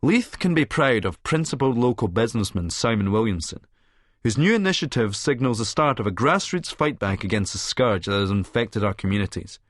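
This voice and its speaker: An actor with a Scottish accent narrating an audiobook as a cynical, vain Edinburgh publican, smug, sardonic and wordy. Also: male voice, on the low side, animated, fairly quick, no room sound, clean studio sound. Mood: mock solemn. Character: cynical, vain male Edinburgh publican and schemer, smugly self-satisfied. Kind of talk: first-person audiobook narration in character.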